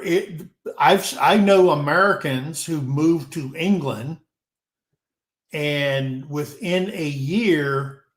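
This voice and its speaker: A man hosting a casual panel chat, rambling and repeating himself as he talks. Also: on the high side. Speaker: talk show host. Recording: echoey room.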